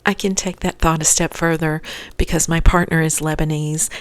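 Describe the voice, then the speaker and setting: A woman's voice: low-pitched, steady tone, normal speed. A woman narrating a scripted episode recap as host, adding chatty asides to listeners.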